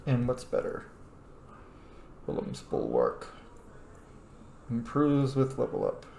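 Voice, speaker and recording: A man's voice: mid-range voice, huge pitch swings, slow pace, gravelly, mellow. A man giving casual, sweary gameplay commentary, often muttering to himself as he plays. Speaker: gamer hosting a playthrough. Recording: echoey room, faint noise.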